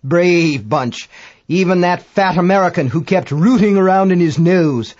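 A pompous English amateur astronomer, pitched high and slightly muffled. He is prissy and rattled, forever correcting himself with formal understatement in an audiobook tale. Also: unhurried, a little mellow. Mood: flustered, pedantic horror. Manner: pedantic, self-correcting, stiffly formal.

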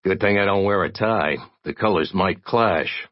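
A man's voice: sits low, moderate pace, no echo, lo-fi sound, pitched above the performer's own voice, lightly acted. A man voicing a battered, sardonic investigator in an audiobook, speaking in a blunt, weary, tough way. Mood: wry.

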